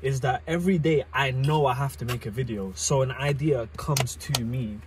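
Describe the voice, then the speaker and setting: A man, chatting casually as host, animated and full of restarts. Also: mid-range voice, normal speed, noisy background. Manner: animated, repeats words and restarts, London slang. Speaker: content creator host. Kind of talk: casual chat. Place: inside a parked car at night. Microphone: phone camera on the dashboard.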